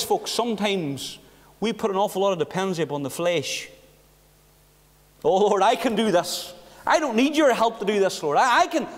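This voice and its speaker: A middle-aged man preaching a sermon behind a pulpit, earnest, with rhetorical questions and hushed passages. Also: high voice. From Northern Ireland. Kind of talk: sermon with personal story. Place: church hall behind a wooden pulpit. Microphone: pulpit mic from a far camera. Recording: echoey church hall.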